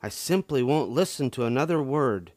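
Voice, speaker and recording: A man's voice: mid-range voice, expressive, normal speed, a little mellow, no echo, studio quality, pitched above the performer's own voice, lightly acted. A man voicing a stern, exasperated mother bear in a storybook, with a firm scolding manner.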